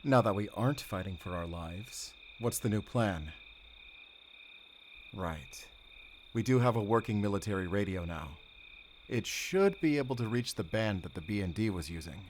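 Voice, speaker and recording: An actor playing a weary but determined, defiant werewolf hunter in a cinematic audio drama, speaking in an earnest, measured, tense way. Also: male voice, fairly low pitch, lively intonation, close to an everyday voice. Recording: noisy outdoor night ambience.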